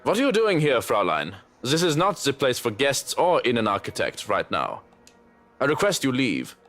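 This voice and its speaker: A man's voice: medium pitch, wildly swooping pitch, normal speed, a bit bright, soft background music. A man playing a stern, suspicious butler in a game, with a German accent and an imperious, formal, scolding manner. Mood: suspicious, menacing. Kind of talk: visual novel game voice acting.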